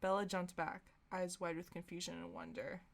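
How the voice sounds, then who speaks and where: A woman's voice: fairly low pitch, moderate pace. A woman hosting a podcast, chatting casually and reading fan fiction aloud, prone to breaking into giggles. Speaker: podcast host.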